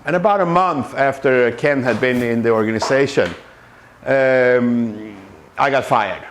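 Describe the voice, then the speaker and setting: A man's voice: mid-range voice, unhurried, a little hiss or hum. A man from Sweden, a Scrum trainer giving a conversational talk to a meetup group, with many fillers and restarts. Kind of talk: conference talk. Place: meetup room.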